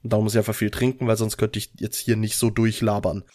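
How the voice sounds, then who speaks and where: A man's voice: lowish voice, flat delivery, fast talker, darkish tone. A man chatting casually as a podcast host, with a mild cold, recorded on a close microphone. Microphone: close USB or headset microphone.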